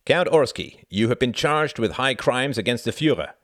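A man's voice: medium pitch, animated, normal speed, fairly bright tone, no room sound, studio quality, pitched above the performer's own voice, natural voice. A man voicing a brutal, bullying Nazi officer in an audiobook, barking harshly and impatiently. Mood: commanding, menacing. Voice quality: harsh, grating. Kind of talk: audiobook character acting.

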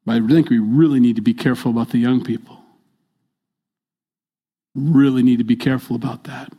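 A man's voice: medium pitch, steady tone, fairly quick. Older man preaching with emphatic repeats. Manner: emphatic, repeats key phrases, swings between loud and soft. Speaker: pastor. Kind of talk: sermon.

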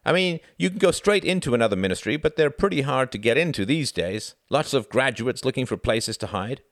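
A hearty young British diplomat from a storybook, acted out by a male narrator. His voice is pitched well up and swings widely. He teases, exclaims and persuades with booming good cheer, in a dry, close recording. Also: lively intonation, a little fast, no echo, lightly acted. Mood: persuasive. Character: jovial, booming, teasing young British diplomat with big hands.

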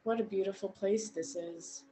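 A woman guiding a meditation in a gentle, unhurried way, leaving long pauses between short phrases. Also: medium pitch, fairly even intonation, poor audio. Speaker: meditation guide. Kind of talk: guided meditation narration.

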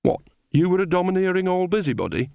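A man's voice: fairly high pitch, big pitch swings, unhurried, dry recording, studio quality. A man voicing a cheerful, earthy old woman witch in a storybook, cheeky and folksy in manner. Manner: folksy, mischievous.

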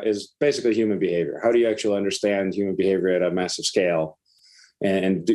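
A man's voice: on the low side, a little mellow. A middle-aged man from Iceland talking casually as a startup founder and interview guest.

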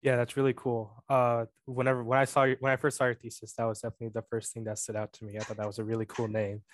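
A man's voice: mid-range voice, monotone, fast, no echo. A man in his twenties co-hosting a podcast, asking friendly interview questions with a few fillers. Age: 20s.

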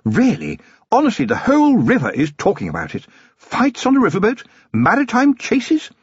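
A man's voice: high-pitched, huge pitch swings, moderate pace, slightly rough, no echo, highs cut off, voice pushed up, full character voice. A man voicing a genteel noblewoman with a refined, gushing upper-class lilt. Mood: fond. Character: genteel noblewoman, warm and affectionate.

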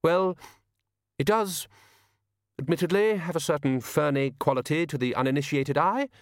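A man's voice: a little high, moderate pace, dry recording, clean studio sound, voice pushed up, close to an everyday voice. A man voicing a vain, fussy, icy male frost spirit in an audiobook, haughty and defensive. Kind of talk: audiobook character reading.

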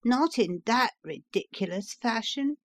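A woman's voice: on the high side, expressive, pitched above the performer's own voice. A woman voicing a small, sleepy male dormouse with a sulky, complaining manner in a storybook reading. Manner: slow, complaining.

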